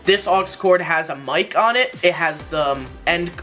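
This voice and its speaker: A young man talks through a casual tutorial in a bright, forward voice that sits a little high. He rambles, doubling back to rephrase his points. Light music plays behind him. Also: animated, moderate pace. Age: young adult. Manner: rambling, repeats and rephrases himself. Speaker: YouTuber, tutorial host. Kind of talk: casual tutorial talk to camera. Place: small bedroom gaming setup, indoors. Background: background music.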